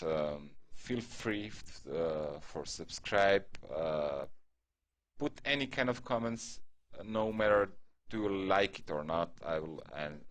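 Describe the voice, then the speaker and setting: Slow low-voiced Croatian man, halting. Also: fairly bright tone. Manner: halting, many fillers and restarts. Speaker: gaming tutorial creator. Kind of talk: game tutorial voice-over.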